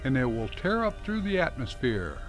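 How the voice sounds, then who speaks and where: A man hosting and narrating a scripted radio programme in a formal, deliberate, theatrical announcer style. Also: mid-range voice, very animated. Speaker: host and narrator. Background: music behind the voice.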